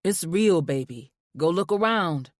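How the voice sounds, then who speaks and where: A woman's voice: on the low side, expressive, a little slow, no echo, studio quality. A woman voicing a loving, easygoing adult man in an audiobook, talking to his wife. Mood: tender. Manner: easygoing, affectionate. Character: loving proud adult man, calm husband. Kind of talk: audiobook narration.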